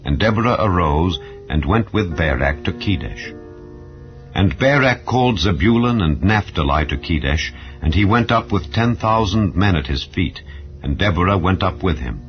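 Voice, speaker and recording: A man narrating a dramatized Bible reading in a solemn, formal, measured way. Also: low-pitched, animated. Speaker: scripture narrator. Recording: slightly dull audio with music underneath. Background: background music.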